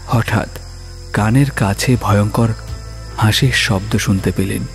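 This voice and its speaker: A man narrating a horror story in a dramatic, suspenseful way, with pauses for effect. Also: fairly low pitch, creaky. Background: soft music.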